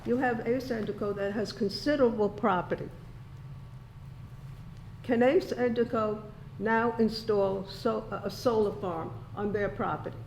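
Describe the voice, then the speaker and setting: A woman speaking as a resident at a town meeting, choosing formal words in a halting way. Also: medium pitch, creaky, a little mellow. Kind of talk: public comment at a meeting. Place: indoor town board meeting room. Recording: echoey meeting room with background noise.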